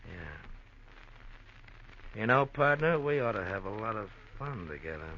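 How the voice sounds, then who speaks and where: A man's voice: lowish voice, big pitch swings, unhurried. An actor playing a menacing, slangy gunman in an old-time radio crime drama, talking tough and threatening. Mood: calculating, smooth. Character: menacing, slangy gunman bullying his woman accomplice. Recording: noisy, dull vintage radio recording.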